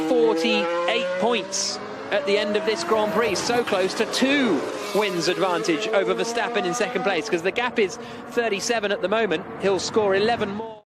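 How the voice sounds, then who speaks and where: A man's voice: high voice, heavy background noise. A man giving live motor racing commentary as the lead commentator, calling the action fluently and emphatically.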